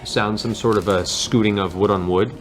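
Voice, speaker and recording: Low-voiced man narrating a tabletop game. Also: fairly even intonation, normal speed, over music. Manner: descriptive, theatrical storytelling. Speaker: game master. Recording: clearly noisy room ambience.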